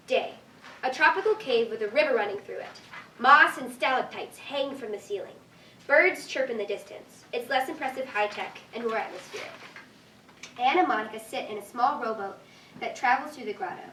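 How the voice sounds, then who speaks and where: A woman's voice: fairly high pitch, sharp tone, distant mic. A young woman reading stage directions as scripted narration at a staged reading. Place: live staged reading in a room. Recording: echoey room from afar, faint noise.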